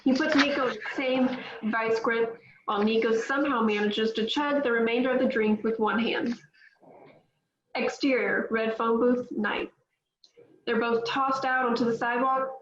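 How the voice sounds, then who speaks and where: A woman reading the stage directions aloud at a table read, in a brisk, matter-of-fact way. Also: a little high, fairly even intonation, reverberant, dull audio.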